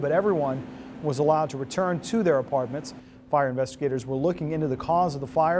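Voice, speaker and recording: A man's voice: fairly high pitch, background noise. An American man in his thirties reading scripted news as a TV anchor. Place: TV news studio. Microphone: lapel mic.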